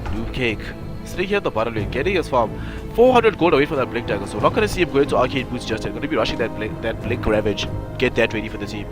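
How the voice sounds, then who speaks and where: A man's voice: mid-range voice, lively intonation, fast talker, over music. A man with a stuffy, nasal voice from a cold, giving rambling live play-by-play commentary on an esports match. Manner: rambling, self-correcting analysis. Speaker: game caster.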